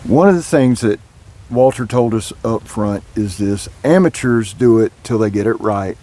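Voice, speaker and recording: A man hosting a casual interview outdoors at a Florida camp, enthusiastic and quick to agree. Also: lowish voice, lively intonation, warm tone. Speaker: host.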